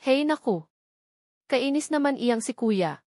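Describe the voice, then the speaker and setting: A woman's voice: high-pitched, expressive, takes it slowly, dark tone, no room sound, studio quality, voice pushed up, exaggerated voice. A woman voicing a frank, concerned younger sister with a Filipino accent, in an audiobook. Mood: annoyed, caring.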